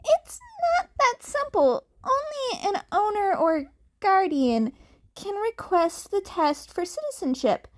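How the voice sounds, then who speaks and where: A woman's voice: extremely high pitch, big pitch swings, slow pace, voice pushed up, full character voice. A woman voicing a cheeky young cat boy in a fan fiction audiobook, polite and innocent on the surface but defiant. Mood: smug, defiant.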